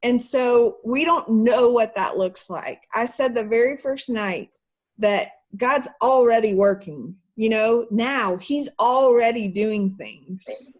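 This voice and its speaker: A woman's voice: a little high, slow pace. A woman, teaching an online church class through earnest personal stories. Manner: earnest, personal storytelling with soft laughs.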